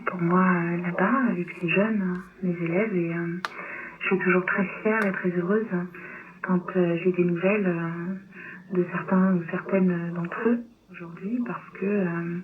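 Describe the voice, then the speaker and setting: A woman's voice: steady tone, unhurried. A woman from Romania, native in Romanian, talking reflectively and hesitantly as a guest in an interview. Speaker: novelist, radio guest. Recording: tinny low-bitrate shortwave radio, clear noise.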